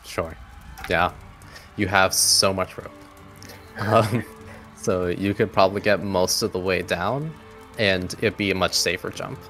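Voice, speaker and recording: A man's voice: low voice, steady tone, unhurried, long pauses, fairly bright tone. A man speaking as game master, narrating a tabletop game in an easygoing, hesitant way. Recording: music bed behind voice. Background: background music.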